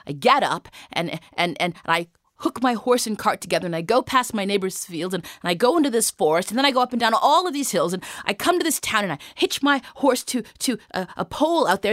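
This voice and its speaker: A woman's voice: fairly high pitch, fairly bright tone, no room sound, clean studio sound, voice pushed up. Woman acting folktale peasant man, earnest, self-doubting, stammering, pleading, swooping intonation. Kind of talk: theatrical oral storytelling.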